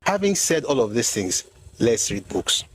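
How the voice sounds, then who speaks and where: A man's voice: medium pitch, very animated, unhurried, slight background noise. A man giving history commentary, explaining word by word with a mocking edge.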